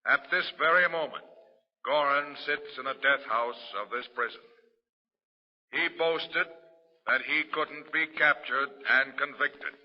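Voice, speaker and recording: An actor playing a stern, resolute prison warden giving a formal, emphatic political speech in 1940s radio drama. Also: male voice, a little high, measured pace. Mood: grave, resolute. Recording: dull old radio recording, echoing hall sound.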